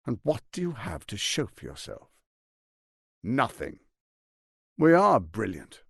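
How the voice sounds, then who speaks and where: An actor playing a suave, ambitious, scheming lord in a video game, speaking in a theatrical, articulate and condescending way. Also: male voice, on the low side, huge pitch swings, slow pace, gravelly, fairly bright tone, husky, dry recording, clean studio sound, full character voice. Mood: cold, condescending. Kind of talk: dramatic video game voice acting.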